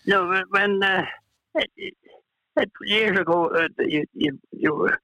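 An older man from Ireland with a cold, reminiscing as an interview guest, halting with many restarts and fillers. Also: high voice, fairly even intonation, gravelly, pushed and bright, no echo, low-quality recording. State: has a cold, clears throat. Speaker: retired golfer, guest.

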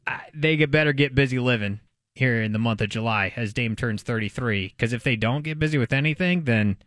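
A man's voice: medium pitch, fairly quick, a bit bright, no echo. American man talking sports, close dry sound. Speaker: sports talk show guest host. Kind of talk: casual sports radio discussion.

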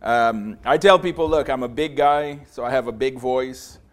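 A man in his forties from Trinidad delivers a sermon in a small room. His voice is big and passionate, and he speaks with frequent pauses and fillers as he builds up steam. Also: mid-range voice. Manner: passionate, grows louder as he preaches. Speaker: visiting preacher.

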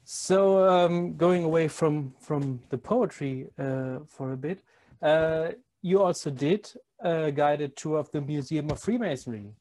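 A man's voice: fairly high pitch, unhurried, a little mellow, low-quality recording. A man with a German accent, speaking English as a second language, interviewing a guest hesitantly, with many restarts and repeated words. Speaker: podcast host, interviewer. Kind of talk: casual interview chat.

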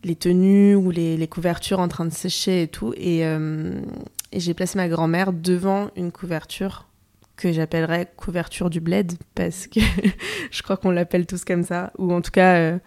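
Reflective young French woman, low voice. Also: steady tone, normal speed, a little mellow. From France. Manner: reflective, many fillers and restarts, thinking aloud. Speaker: photographer and artist, interview guest. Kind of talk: casual interview conversation.

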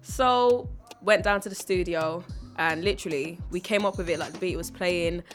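A woman's voice: fairly low pitch, moderate pace, faint music behind. A woman in her twenties, a singer, chatting easily as a guest in a radio interview. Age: 20s. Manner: giggly, easygoing. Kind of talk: casual interview chat. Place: radio studio.

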